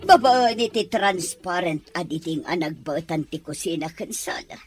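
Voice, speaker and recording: A woman's voice: lowish voice, expressive, moderate pace, over music, natural voice. A woman playing a bossy, scheming middle-aged mother-in-law in an Ilocano-accented audio drama.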